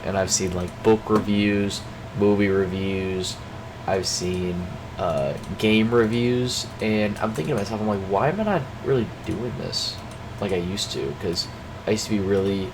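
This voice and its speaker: A young man with a fairly low voice and a stuffed-up nose, chatting off the cuff in a loose daily check-in. He rambles, restarts his sentences and leans on filler words. An air conditioner hum and background noise sit under his voice. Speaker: vlogger.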